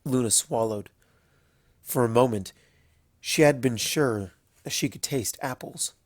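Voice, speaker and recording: A man's voice: medium pitch, a little slow, no room sound, studio quality. A man reading scripted fan fiction as a storyteller.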